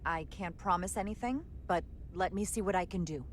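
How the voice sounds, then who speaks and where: A woman's voice: fairly low pitch, a little fast, a little hiss or hum, lightly acted. A woman playing a tactful starship first officer in a video game, speaking in an earnest, diplomatic way. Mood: composed.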